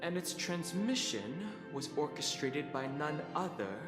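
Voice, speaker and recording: An actor playing a calm young man who explains things in a level, direct way. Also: on the high side, a little slow. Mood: steady. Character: calm young male investigator, steady and direct. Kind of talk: video game voice acting. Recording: game audio with long reverb and music.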